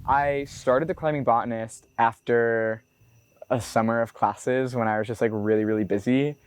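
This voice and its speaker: A man's voice: mid-range voice, steady tone, normal speed, smooth. A man in his twenties talking casually and reflectively in a documentary interview, his speech loose and full of "like" fillers. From United States. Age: 20s. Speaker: botanist and climber being profiled.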